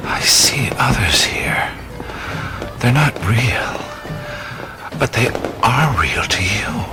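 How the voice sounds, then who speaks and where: An actor playing a bewildered, wisecracking adventurer in a radio play, with halting, wry exclamations. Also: male voice, medium pitch, very animated, whispered. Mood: awed, breathless. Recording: studio radio play with loud music. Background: loud music and effects.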